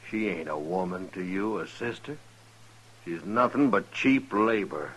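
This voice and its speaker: An actor with an American accent playing a plainspoken, resolute cowboy in a 1950s western radio drama, earnest and firm. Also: male voice, low voice, animated, a little slow, fairly bright tone, husky, exaggerated voice, low-quality recording. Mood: defiant. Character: resolute, plainspoken unarmed cowboy defending his sweetheart. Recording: old radio recording with faint noise.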